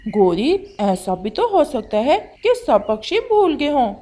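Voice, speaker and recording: A high-pitched bird friend from a children's cartoon, acted by a woman. The delivery is animated and swooping, yet plain-spoken and matter-of-fact. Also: measured pace, full character voice. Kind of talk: Hindi children's cartoon voice acting.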